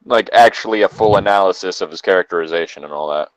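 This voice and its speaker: A man chatting casually and rambling through halting asides as he co-hosts a read-aloud show over a voice call. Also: sits low, no room sound, poor audio. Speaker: co-host of a fan fiction reading show. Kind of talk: casual banter and commentary while reading aloud. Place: dry, close setup on a remote voice call.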